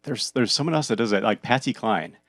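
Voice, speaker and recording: A man hosting a podcast interview, talking casually and haltingly, often repeating words as he searches for phrasing. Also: fairly low pitch, expressive, fairly bright tone, no room sound. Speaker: podcast host.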